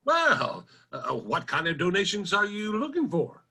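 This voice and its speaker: A man's voice: high voice, wildly swooping pitch, a little slow, vocal fry, sharp tone, husky, heavily acted. A man playing a folksy, bewildered nineteenth-century general store owner in a radio drama, with plain-spoken country talk. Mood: curious, eager. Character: old-time West Virginia general store proprietor from the 1800s, folksy, bewildered. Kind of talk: old-time radio drama acting.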